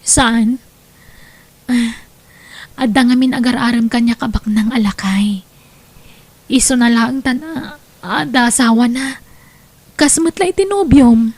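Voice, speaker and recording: Woman playing a high-voiced young kept woman. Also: unhurried, long pauses, darkish tone, no echo. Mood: defensive. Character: young pragmatic kept woman, mistress of a married man. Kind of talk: Ilocano radio drama acting.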